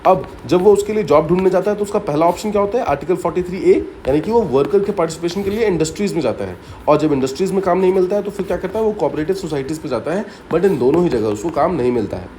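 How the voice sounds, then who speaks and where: A man's voice: high voice, rapid-fire, mellow, a little hiss or hum. A man teaching exam students by telling a story, explaining step by step and often repeating key points with short English asides. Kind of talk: story-based mnemonic lesson.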